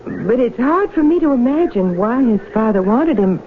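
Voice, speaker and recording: An actress playing a firm, loyal young farm wife with an American accent, earnest and guarded, in a 1970s radio drama. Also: female voice, a little high, animated, normal speed, natural voice. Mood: earnest, polite. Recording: tinny, noisy 1970s radio broadcast.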